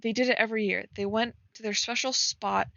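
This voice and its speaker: A woman's voice: fairly high pitch, fairly even intonation, brisk, creaky, sharp tone, no echo, muffled recording. A giggly woman casually reading fan fiction aloud and breaking off to comment on it as she streams.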